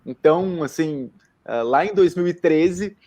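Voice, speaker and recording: A man talking in an intense, expressive way as a guest introducing himself, using slangy interjections. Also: fairly high pitch, measured pace. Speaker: guest, founder of a social communication initiative. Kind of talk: casual self-introduction on a talk.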